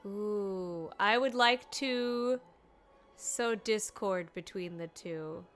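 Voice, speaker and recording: A woman's voice: mid-range voice, drawn-out words, a bit bright, faint music behind. A woman game streamer giving casual live commentary, musing aloud as she plays. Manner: musing aloud, reacting in short interjections.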